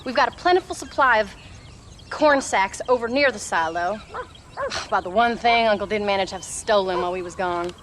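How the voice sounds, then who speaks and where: An actress playing a sharp-tongued, proud frontier wife and mother with an American frontier drawl, in a video game. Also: medium pitch, lively intonation, fairly quick, a little hiss or hum, lightly acted. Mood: suspicious, needling. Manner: sassy, teasing. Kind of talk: video game dramatic dialogue.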